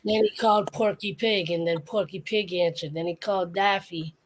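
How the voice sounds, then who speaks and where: A woman's voice: medium pitch, steady tone, moderate pace, noisy background. A woman co-hosting a casual chat, telling a story in a rambling way that trails off and restarts. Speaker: podcast co-host.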